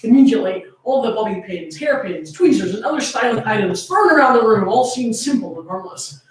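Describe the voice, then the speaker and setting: Woman, fan fiction reader, highly expressive, fairly high voice, amused delivery, very echoey, far from the mic. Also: a little fast. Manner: amused, often breaking into laughter while reading. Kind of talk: dramatic comedic story reading. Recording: echoey room, distant microphone.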